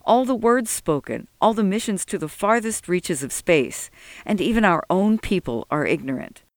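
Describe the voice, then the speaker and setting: A narrator plays an old, devout man in an audiobook story. He is solemn, weary and urgent, preaching with earnest emphasis and lively swings of pitch. The sound is dry and close. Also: normal speed, no room sound, natural voice. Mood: weary, dismayed. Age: older.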